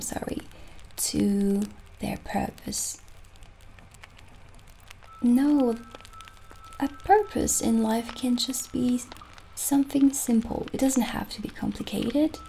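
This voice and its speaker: A young woman playing a gentle, kindly witch shopkeeper in an ASMR roleplay, soft-spoken and soothing, slipping toward breathy near-whispers. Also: on the high side, a little hiss or hum, poor audio. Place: small indoor candlelit set.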